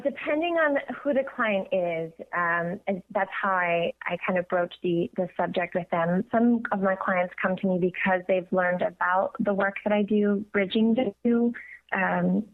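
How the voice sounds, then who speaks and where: Woman guest on a tinny phone line. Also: mid-range voice. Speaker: guest, voice coach. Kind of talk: conversational radio interview.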